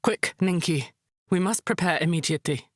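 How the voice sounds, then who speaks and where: A woman playing a proud, resolute high priestess, formal and composed, in a visual novel drama. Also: normal speed, no room sound, studio quality, close to an everyday voice. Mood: urgent, commanding.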